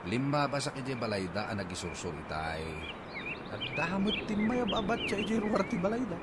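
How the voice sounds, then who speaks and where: A man's voice: on the high side, expressive, a little fast, close to an everyday voice. Guy acting fussy teasing ilocano husband in a radio comedy, swoops up and down, really noisy background. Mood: teasing, casual. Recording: noisy radio drama with outdoor ambience.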